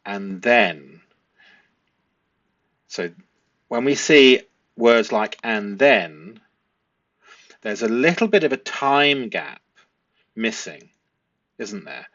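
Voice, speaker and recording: A man explaining a transcript analysis as a host, speaking deliberately and posing rhetorical questions. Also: mid-range voice, animated, a bit bright, no room sound, highs cut off. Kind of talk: explanatory analysis of a transcript, part read aloud.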